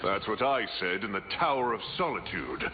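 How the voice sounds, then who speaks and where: An actor playing a brash superhero comrade trading banter in a video game. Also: male voice, fairly low pitch, animated, brisk, narrow, tinny audio, music in the background, close to an everyday voice. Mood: surprised, boisterous.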